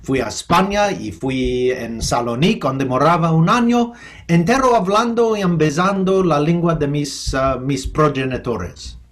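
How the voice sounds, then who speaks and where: Halting American man, higher voice. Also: lively intonation. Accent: American English. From United States. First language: English. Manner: halting, thoughtful, with many restarts and fillers. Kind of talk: remote interview.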